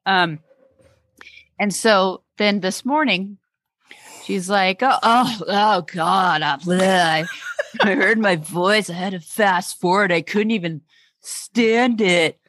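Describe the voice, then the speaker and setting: A woman's voice: mid-range voice, slow, fairly bright tone, dry recording. A woman with a Texan accent co-hosting a podcast in casual chat, rambling with restarts and laughing often. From Texas, United States. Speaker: podcast co-host.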